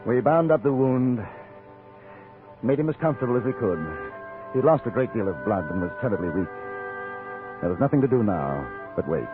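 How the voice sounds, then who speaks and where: An actor playing a composed, courteous gentleman adventurer, speaking in a measured, literate way as in a 1950s radio drama. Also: medium pitch, expressive, music in the background, close to an everyday voice. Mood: composed, reflective. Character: composed, gentlemanly adventurer narrating his frontier tale. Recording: muffled vintage radio broadcast.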